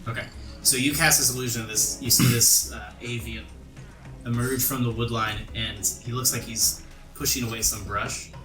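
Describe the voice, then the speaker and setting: A man's voice: mid-range voice, fairly even intonation, normal speed, bright, forceful tone. A man narrating a tabletop role-playing game as game master, in a descriptive storytelling way. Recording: roomy echo, distant mic, music underneath. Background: music bed.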